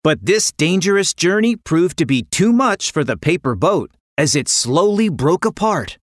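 A woman narrating a scripted children's story in an expressive, dramatic way. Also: on the low side, moderate pace, no echo, studio quality.

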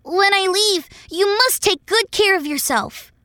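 A woman voicing an earnest, gentle little boy prince from another planet in a children's fairy tale. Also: extremely high pitch, unhurried, no room sound, clean studio sound, exaggerated voice. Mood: polite, let down.

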